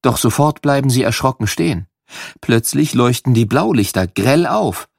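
A man narrating a children's story in an expressive, scripted style. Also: medium pitch, normal speed, gravelly, slightly rough, no echo, clean studio sound.

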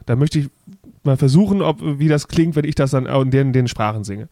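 A man's voice: mid-range voice, a little fast, vocal fry, mellow, no room sound, clean studio sound. A man in his thirties hosting a podcast in casual chat, talking freely with many fillers and restarts. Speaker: podcast host.

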